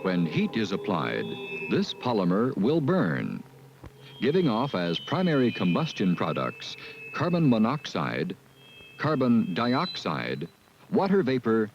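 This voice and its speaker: Measured male film narrator, lo-fi. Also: fairly low pitch, wildly swooping pitch, background noise. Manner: measured, deliberate delivery. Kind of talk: scripted educational narration. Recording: dull lo-fi film soundtrack with music.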